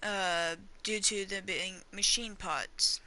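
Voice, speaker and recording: Female gamer, bright forward voice, unhurried, rambling casual commentary with hesitant trailing thoughts. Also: medium pitch, fairly even intonation. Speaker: gamer reacting and theorizing during a playthrough. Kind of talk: casual gameplay commentary, thinking aloud.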